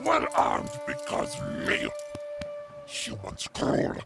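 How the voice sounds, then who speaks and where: A man voicing an excitable, fierce beaked alien mercenary in an audio drama, croaking and squawking short exclamations in broken speech. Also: drawn-out words, loud music behind the voice. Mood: bitter, fierce.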